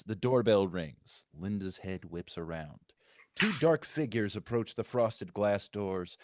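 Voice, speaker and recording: A man's voice: on the low side, a little mellow, no echo, studio quality. A man, the writer, reading out screenplay stage directions as narrator at a live table read.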